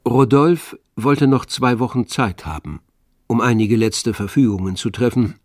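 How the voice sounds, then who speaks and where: Radio drama narrator: man, mid-range voice, composed storytelling pace, faint music underneath. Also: medium pitch. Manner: measured, storytelling.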